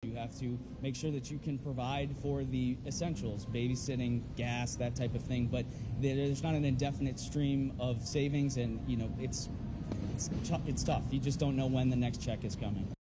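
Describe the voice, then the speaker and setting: A man's voice: mid-range voice, fairly even intonation, moderate pace. A man, a worker giving a candid, somewhat hesitant interview outdoors in traffic noise. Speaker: federal worker being interviewed.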